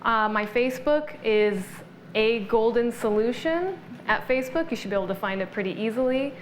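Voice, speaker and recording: A woman's voice: on the high side, normal speed, a little hiss or hum. A woman guest in an interview, explaining wellness topics in an informative, list-making way. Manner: informative, explanatory, lists benefits. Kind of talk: conversational interview.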